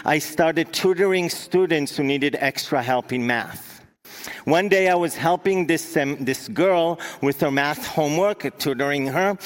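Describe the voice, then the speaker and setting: A young man from Israel with an Israeli accent, a native Hebrew speaker, preaching an earnest sermon with emphatic repetition as a guest preacher. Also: a little high.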